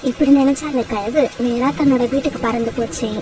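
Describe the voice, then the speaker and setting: High-pitched woman narrating a children's story. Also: steady tone, brisk. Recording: distant mic, clear background noise.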